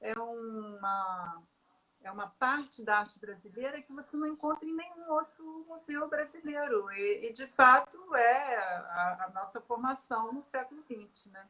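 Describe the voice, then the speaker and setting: Bright-voiced woman, hesitant speech. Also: fairly high pitch, lively intonation, unhurried, rough recording. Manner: hesitant, many fillers and restarts. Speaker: artist being interviewed. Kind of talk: conversational interview.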